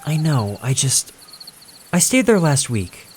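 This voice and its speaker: Narrator acting a young woman, high voice, desperate, pleading, cracking, horror story figure. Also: big pitch swings, slow, a little mellow, a little hiss or hum. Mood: shaken, insistent. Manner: breathless urgent pleading, voice cracking.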